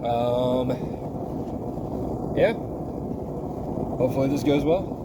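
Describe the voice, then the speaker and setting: A man's voice: mid-range voice, takes it slowly. A man with a cold, sniffling as he narrates his outdoor metal casting in an easygoing way, thinking aloud while he works. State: sniffly, getting over a cold. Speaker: hobby metal caster. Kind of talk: casual narration while working. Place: outdoors by a melting furnace. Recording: loud roaring background noise.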